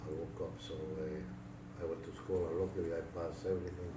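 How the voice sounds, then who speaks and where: A man in his sixties with a Puerto Rican accent tells his life story in an interview, halting, with many fillers and restarts. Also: low voice, level tone. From Puerto Rico. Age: 60s. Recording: echoey room, distant mic, noisy.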